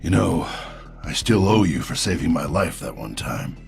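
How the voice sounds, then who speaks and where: An actor playing a stubborn, world-weary old soldier in a video game, gruff and terse with a gravelly voice. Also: male voice, extremely low pitch, very animated, normal speed, soft background music, heavily acted. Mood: wry, stubborn. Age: older.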